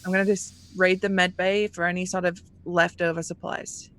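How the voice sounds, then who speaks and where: A woman voicing a bored, impatient female space crew member in a tabletop game, brisk and offhand. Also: fairly low pitch, level tone, a bit bright, clear, resonant tone, natural voice.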